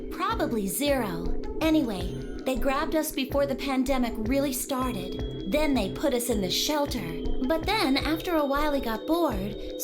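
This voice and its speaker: An actress voicing an earnest, gentle young woman in a visual novel game. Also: sits high. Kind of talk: video game voice acting.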